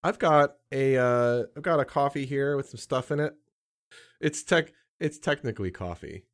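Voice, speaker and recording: A man co-hosting a casual podcast, playful, with frequent laughs and quick interjections. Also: mid-range voice. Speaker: podcast co-host.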